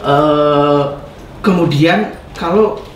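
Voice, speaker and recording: Brief: young male interviewer, a bit high-pitched, chatty, recorded off-mic in a reverberant room. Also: drawn-out words, recorded from a distance, slight background noise. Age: young adult. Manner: friendly questioning, many back-channel hums. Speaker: interview host. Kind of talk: casual interview chat. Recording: roomy, off-mic, faint ambience.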